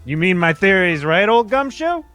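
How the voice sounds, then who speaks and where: A man playing a pompous, excitable gentleman sidekick in an old-time radio detective play, with theatrical delivery. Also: high-pitched, moderate pace, faint music behind, voice pushed up, heavily acted. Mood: astonished.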